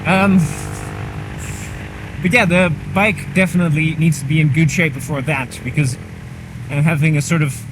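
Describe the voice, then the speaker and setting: A man's voice: fairly high pitch, fairly even intonation, moderate pace, long pauses. A man chatting casually to the camera while riding a motorcycle, rambling and poking fun at himself, recorded on a helmet mic. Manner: chatty, rambling, self-mocking. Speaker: motorcycle vlogger. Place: on a motorcycle on a country road outdoors. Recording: helmet mic with clear engine noise. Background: engine and wind noise.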